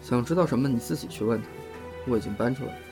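A man's voice: medium pitch, very fast, natural voice. Restrained, matter-of-fact young professional man, male actor, polite yet aloof, audio drama with music underneath. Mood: cold, guarded. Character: reserved young male insurance adjuster, polite but stubbornly aloof.

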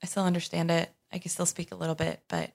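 A young woman from Sweden, a podcast guest, telling her family story in a relaxed, casual way with frequent ums and uhs. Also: lowish voice, fast talker, vocal fry.

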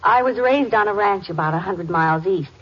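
A woman playing a sweet, worried young farm wife in an old radio drama, earnest and soft-hearted. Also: medium pitch, animated, brisk, husky. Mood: troubled, earnest. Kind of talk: 1940s-50s radio drama acting. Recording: muffled old-time radio recording, faint noise.

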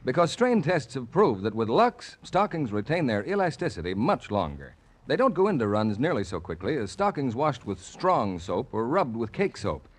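A man's voice: mid-range voice, very animated, normal speed. A man reading scripted advertising copy as a commercial announcer in 1940s radio style.

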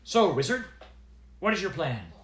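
A man's voice: sits high, very animated, a little slow, a bit bright, roomy sound, a little hiss or hum, voice pushed up, exaggerated voice. A man voicing a young male dwarf cleric who speaks bluntly and impatiently. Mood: demanding. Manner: blunt, demanding.

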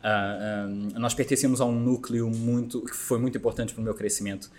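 Man, forties, Portuguese accent with Brazilian tinge, slightly low voice, halting, restarts phrases. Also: fairly even intonation, darkish tone. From Terceira, Azores. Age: 40s. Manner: halting, many restarts and fillers. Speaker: podcast guest. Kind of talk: casual interview chat.

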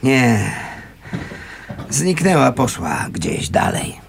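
An actor playing a scrappy but kindly homeless man in a radio play, colloquial, wry, gruff and gentle. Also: medium pitch, very animated, very slow, slight background noise, exaggerated voice. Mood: musing, casual.

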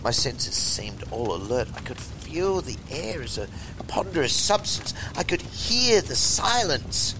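A man giving a theatrical, deliberate and emphatic reading of a horror story as its narrator. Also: a little high, very animated, noisy background.

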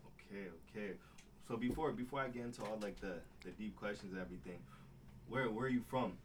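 A man's voice: lowish voice, fast. A young man hosting a casual interview, slangy and easygoing, often repeating short acknowledgements. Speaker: podcast host. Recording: distant mic with faint background noise.

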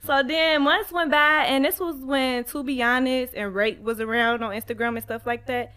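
Twenties woman, guest, high clear voice, animated storyteller. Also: moderate pace. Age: 20s. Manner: animated storyteller, giggly, acts out quotes. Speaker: podcast guest. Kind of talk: casual storytelling.

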